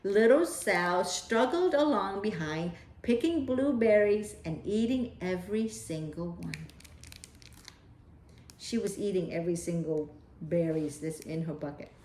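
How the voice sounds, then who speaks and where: A woman's voice: medium pitch, lively intonation, a little slow, darkish tone. A woman storyteller reading a children's picture book aloud. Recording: roomy echo.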